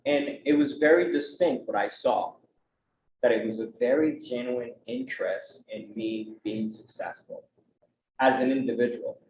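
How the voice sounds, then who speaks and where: A man's voice: mid-range voice, lively intonation, unhurried, darkish tone. Man speaking on a conference panel. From United States. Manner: earnest, conversational, frequent "right?" tag questions. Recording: roomy, dull, faint background noise.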